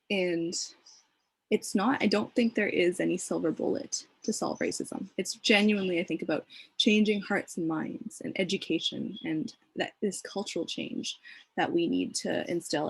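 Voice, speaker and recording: A young woman speaks on a panel from a video call, thoughtful and earnest, pausing often on fillers. Her voice is husky with a gravelly rasp and sits a little low. The sound is dry, with no room echo. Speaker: panelist, community advocate.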